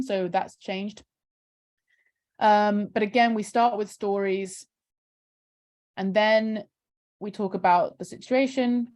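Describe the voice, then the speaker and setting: A woman's voice: mid-range voice, darkish tone. A woman, a charity campaigner talking casually on a video call, filling her speech with "um" and "kind of". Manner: chatty, many fillers like "um" and "kind of". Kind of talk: casual video call interview, screen-share walkthrough.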